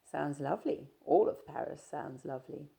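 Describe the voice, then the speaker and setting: A woman voicing a shy, reserved young woman traveller in an audiobook, with soft, hesitant replies. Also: low-pitched, lively intonation, normal speed, creaky, full character voice.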